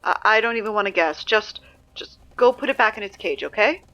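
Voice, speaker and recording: A woman voices a flustered teenage girl doing the school announcements in a comedy audio drama. Her bright, lively voice swings widely and breaks into sarcastic outbursts. The audio sounds slightly muffled. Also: mid-range voice, a little fast, faint music behind. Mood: wary.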